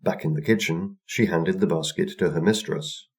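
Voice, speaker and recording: Male storyteller, low register, reading a children's folktale. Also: moderate pace, a little mellow. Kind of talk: scripted folktale narration for children.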